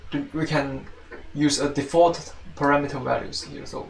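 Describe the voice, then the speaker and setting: A man with a Chinese accent giving an informal tutorial as a teaching assistant, halting and often repeating and rephrasing himself. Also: fairly high pitch, steady tone, creaky. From China. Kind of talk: informal spoken tutorial walkthrough. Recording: roomy, distant mic, faint noise and clicks.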